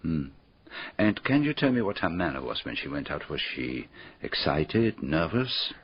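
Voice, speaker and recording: An actor playing a courteous, probing foreign detective in a radio drama, with formal foreign phrasing and deliberate questions. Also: male voice, extremely low pitch, big pitch swings, breathy edge, full character voice. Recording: dull, muffled radio drama recording.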